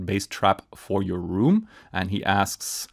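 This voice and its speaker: A man's voice: deep voice, sing-song, takes it slowly, vocal fry. A man in his thirties with a German accent, answering viewer questions about acoustics in a casual, enthusiastic way with plenty of fillers and restarts. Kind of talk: casual explanatory talk. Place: home studio room with acoustic treatment, indoors. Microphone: studio microphone on a stand in front.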